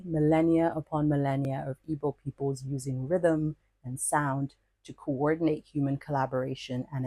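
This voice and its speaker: Low-voiced woman reading a lyrical essay. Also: a little mellow, breathy edge, dry recording, poor audio. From Nigeria. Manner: lyrical, playful, wordy and self-mocking. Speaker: podcast host. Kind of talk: scripted personal essay narration.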